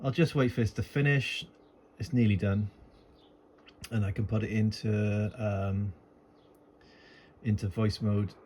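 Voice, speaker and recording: A man's voice: on the low side, steady tone, measured pace, pauses often, darkish tone. Informal man in a noisy phone recording. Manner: informal, hesitant, thinking aloud. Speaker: amateur radio hobbyist making a tutorial video. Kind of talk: casual unscripted screen and gear walkthrough. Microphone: handheld phone filming the setup.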